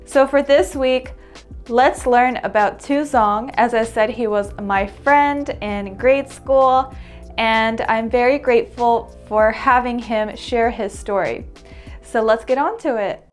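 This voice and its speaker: A woman's voice: fairly high pitch, slow, faint music behind. A woman from the United States hosting her vlog, talking to camera in a chatty, upbeat way and repeating words for emphasis. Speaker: vlog host and English teacher. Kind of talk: casual vlog talk to camera.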